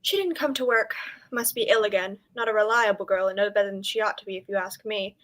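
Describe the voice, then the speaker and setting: A woman playing a sharp-tongued village innkeeper in a radio drama, with a brisk, disapproving manner. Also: medium pitch, natural voice. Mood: sour, scolding.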